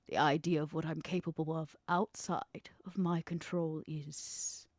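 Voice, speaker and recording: A woman playing a guarded, shrewd vampire proposing business in a measured, dryly intimate way for a tabletop roleplay podcast. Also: on the low side, a little mellow, no echo, studio quality. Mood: grave, confiding.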